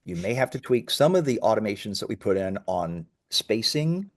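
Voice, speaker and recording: A man's voice: on the low side, sing-song, normal speed. A man speaking as a company founder in an interview, explaining conversationally with emphatic, self-correcting phrasing.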